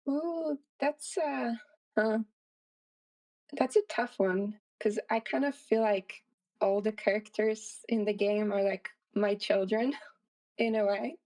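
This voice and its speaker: Slow-talking woman, slightly distant mic. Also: mid-range voice, darkish tone. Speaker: interview guest, indie game creator. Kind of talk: casual interview conversation.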